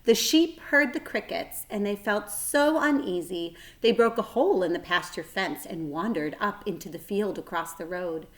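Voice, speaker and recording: A woman reading a children's story aloud like a teacher, with a careful, expressive delivery. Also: medium pitch, moderate pace, hardly any pauses.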